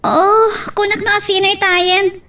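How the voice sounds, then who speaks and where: A woman playing a young, easily startled woman in an audio love drama, with an Ilocano accent and expressive exclamations. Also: very high voice, measured pace, distant mic, heavily acted. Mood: friendly, surprised. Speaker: voice actor.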